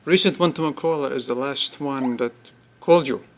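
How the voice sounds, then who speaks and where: A man giving an informal, unscripted product demonstration, speaking haltingly in short pieced-together bursts. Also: mid-range voice. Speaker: app demonstrator.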